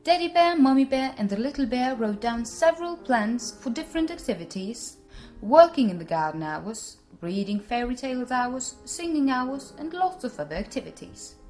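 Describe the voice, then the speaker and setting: A woman storyteller narrating a scripted bedtime tale for children in an expressive manner. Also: high-pitched, moderate pace, faint music behind.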